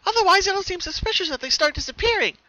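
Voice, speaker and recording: A bouncy young girl pony from a cartoon, gushing with singsong cheer and a sinister edge. The voice is squeaky high and very bright, swinging wildly. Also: expressive, fast talker, no room sound, full character voice. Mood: scheming glee.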